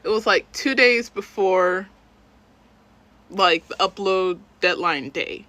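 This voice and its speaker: A woman's voice: mid-range voice, slow, pushed and bright. A young woman, a self-taught cover singer and dancer, chatting casually on a livestream in a rambling, self-deprecating way.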